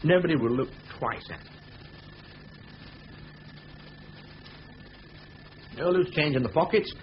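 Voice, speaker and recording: A man's voice: on the high side, fast talker, close to an everyday voice. An actor in a 1970s radio drama playing a patient ringleader who briefs his thieves in short, clipped instructions. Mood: encouraging. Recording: muffled old radio broadcast with hiss.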